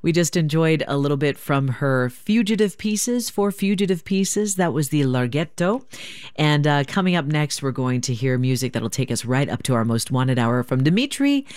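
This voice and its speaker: A woman's voice: sits low, lively intonation, normal speed, a little mellow, clear, resonant tone, no room sound. A woman hosting classical public radio, making an upbeat pledge drive appeal on a studio microphone. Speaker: radio host.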